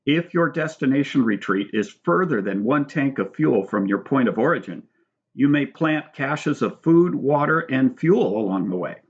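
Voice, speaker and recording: A man reading his own book aloud as scripted narration, in an instructional, measured manner. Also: medium pitch, expressive, muffled recording. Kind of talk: scripted audiobook narration with spoken asides.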